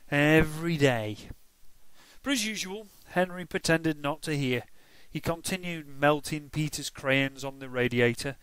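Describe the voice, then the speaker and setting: A man reading a children's storybook aloud, expressive and emphatic, with playful asides. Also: on the high side, smooth, no echo.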